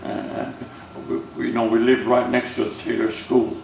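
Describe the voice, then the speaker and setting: A man's voice: medium pitch, little pitch movement, moderate pace, vocal fry, darkish tone. An older man with a Finnish-American accent, Finnish as his first language, reminiscing hesitantly in an oral history interview, with many fillers and restarts. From United States. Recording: roomy, distant, noisy home recording.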